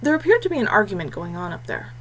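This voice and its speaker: Female audiobook narrator, mid pitch, scripted fantasy storytelling, clear background noise and hum. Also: sing-song, quick pace, poor audio.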